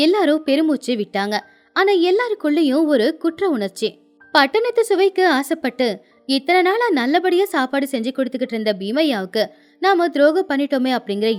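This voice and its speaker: High-pitched, animated woman storyteller. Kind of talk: scripted moral story narration.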